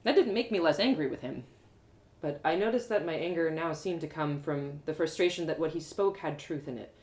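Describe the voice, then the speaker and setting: A woman's voice: low voice, expressive, brisk, a little mellow, echoey room. A woman storyteller reading first-person fiction aloud as a dramatic live narration. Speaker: author and storyteller.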